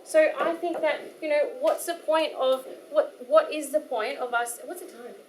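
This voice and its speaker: Chatty high-voiced Australian woman, echoey hall. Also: normal speed, a crowd in the background. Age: young adult. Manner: chatty and animated, many fillers and asides. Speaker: workshop speaker. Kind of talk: informal talk to a live audience. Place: community hall. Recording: echoey hall with faint noise.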